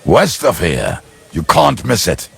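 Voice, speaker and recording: Game casting: a cheeky, boozy schemer, very raspy and creaky, rambling with wild swings. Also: male voice, deep voice, huge pitch swings, slow, a bit bright, full character voice. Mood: wry, rambling. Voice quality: gravelly, husky. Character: boozy middle-aged ruffian, cheeky schemer. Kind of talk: video game character acting.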